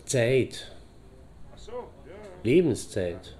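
An actor playing a thoughtful man philosophising in a radio play, measured and pondering, with faint noise around him. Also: mid-range voice, very animated, mellow. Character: thoughtful man philosophising about parallel worlds. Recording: faint background noise and ambience.

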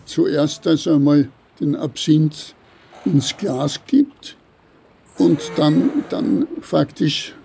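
A man playing an eccentric old seaman in an audio drama, lecturing in a halting, ceremonious, rambling way. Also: a little high, vocal fry, warm tone, a little hiss or hum, full character voice. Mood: solemn, instructive. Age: older.

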